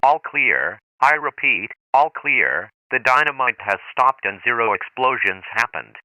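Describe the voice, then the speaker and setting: A man voicing a grave, formal emergency broadcast announcer in a measured official style. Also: medium pitch, expressive, bright, forceful tone. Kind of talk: scripted emergency alert announcement. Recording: very noisy radio-like broadcast.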